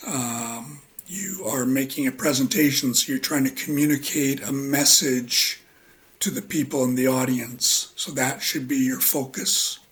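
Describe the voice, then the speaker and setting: A man with a husky voice giving a relaxed spoken lecture as an English teacher, halting often with ums and uhs. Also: medium pitch.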